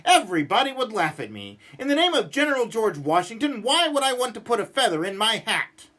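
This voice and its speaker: A man voicing a cranky, stubborn, exasperated man who grumbles and rants in an animated storybook read-aloud. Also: sits high, a little fast, full character voice. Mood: grumpy complaining. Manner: exasperated, ranting, emphatic.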